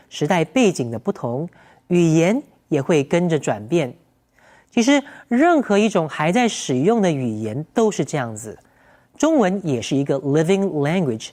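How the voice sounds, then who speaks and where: Woman narrator, low voice, very animated scripted read. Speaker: segment narrator.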